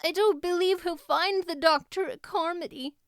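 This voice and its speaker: Woman acting a high-pitched, frightened little girl. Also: normal speed, no echo, clean studio sound. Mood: despairing, tearful. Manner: anxious, imploring, breathless delivery. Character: frightened, pleading young girl, a nervous best friend.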